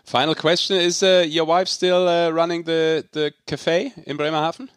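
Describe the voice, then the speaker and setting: A man's voice: fairly high pitch, level tone, normal speed, clear, resonant tone, no room sound, studio quality. A man, a native German speaker, hosting a sports podcast in a casual style. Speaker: podcast host.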